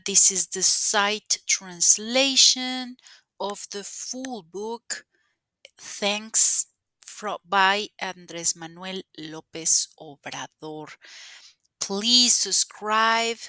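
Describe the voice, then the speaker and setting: A woman with a Spanish accent reads a book aloud as she translates it on the spot, pausing often and fixing her own words. Her pace is slow and unhurried, her tone bright and forward. The sound is dry and close, with no room around it. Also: medium pitch, no room sound. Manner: halting, many fillers and self-corrections. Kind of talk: live sight translation reading.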